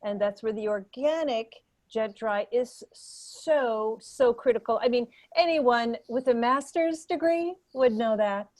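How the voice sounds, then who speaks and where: Woman acting high-pitched pompous female expert. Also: a little mellow, close to an everyday voice. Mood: smugly conceding. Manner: pompous, halting, emphatic. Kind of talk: improvised mock panel debate.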